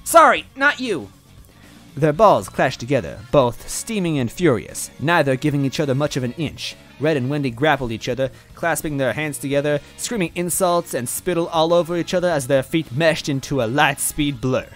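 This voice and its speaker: A man's voice: a little high, normal speed, hardly any pauses. A man giving a playful, dramatic reading of fan fiction, with wry asides. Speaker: storyteller. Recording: faint music underneath.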